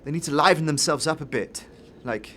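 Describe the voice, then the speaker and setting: A man's voice: a little high, brisk, fairly bright tone, a little hiss or hum, natural voice. A man playing a nervous, bored young fellow with a hesitant, stammering delivery in a fantasy audio drama. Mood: wry, disdainful. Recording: long reverb, music behind.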